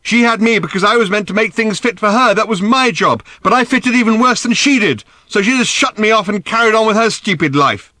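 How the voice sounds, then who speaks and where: A man reading a storybook as a furious, wounded teenage girl, shouting accusations in scornful outbursts. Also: high voice, animated, brisk, hardly any pauses, no echo, pitched above the performer's own voice. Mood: bitter, hurt.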